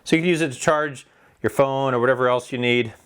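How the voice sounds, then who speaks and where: A man reviews a product in a casual, hands-on style. He speaks in an informal, plain-spoken way, explaining features step by step at a middling pitch. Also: fast talker, noisy background.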